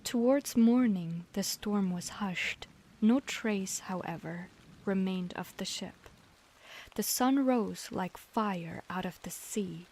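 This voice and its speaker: Slow, soft-spoken woman with a British-leaning accent. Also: medium pitch, a little hiss or hum. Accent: British-leaning English learned as a second language. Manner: soft, half-whispered, gentle delivery. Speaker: streamer reading stories aloud. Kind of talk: slow bedtime storybook reading.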